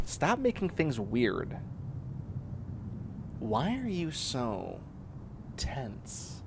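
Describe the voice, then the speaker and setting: Animated, whiny, bossy catboy, acted by a man. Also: mid-range voice, vocal fry, noisy background, exaggerated voice. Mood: clingy, bossy. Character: bossy, clingy young man turning into a catboy. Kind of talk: comedic roleplay audio drama acting.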